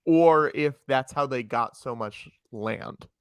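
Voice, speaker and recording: A man's voice: mid-range voice, a little slow, smooth, no echo. A man appearing as a podcast guest, talking in a casual, chatty way. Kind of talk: casual chat.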